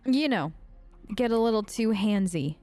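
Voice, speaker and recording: A woman's voice: mid-range voice, animated, a little slow, darkish tone, no room sound, soft background music. A woman hosting a game let's play, improvising in character in a casual, polite and hesitant way. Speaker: gaming video host.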